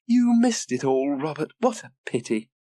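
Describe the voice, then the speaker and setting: A man's voice: fairly high pitch, sing-song, moderate pace, dark tone, dry recording, clean studio sound, pitched above the performer's own voice. A man voicing a cool, pitying mother in a children's story.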